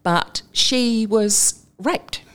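A woman's voice: on the high side, extremely slow, a bit bright, clean tone. An Australian woman in her fifties, a broadcaster and interview guest, telling personal stories candidly in casual conversation, with frequent ums and self-corrections. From northern New South Wales, Australia.

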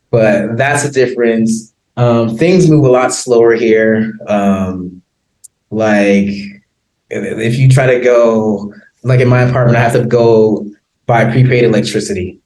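An easygoing American man talks in a relaxed, rambling way, full of ums and little laughs. His voice sits at an ordinary pitch for a man. The room around him gives it a noticeable echo. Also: a little slow. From United States. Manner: chatty, laughs often, many fillers. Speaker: interview guest. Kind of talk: casual conversation.

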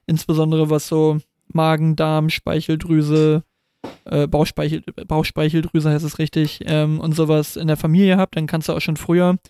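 A man in his thirties co-hosts a relaxed podcast chat in a slightly higher, mellow voice. He jokes and teases in casual slang. The recording is dry and close. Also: moderate pace, smooth, dry recording. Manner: joking, teasing, casual slang. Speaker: podcast co-host.

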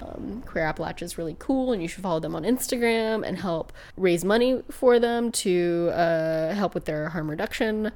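A soft-voiced woman co-hosting a casual movie podcast, recorded in a living room at home. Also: on the low side, normal speed, hardly any pauses. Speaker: podcast co-host.

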